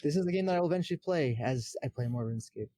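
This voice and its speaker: A man's voice: a little high, animated, rapid-fire, warm tone. A man on a podcast panel, chatting casually about video games. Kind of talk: casual gaming chat.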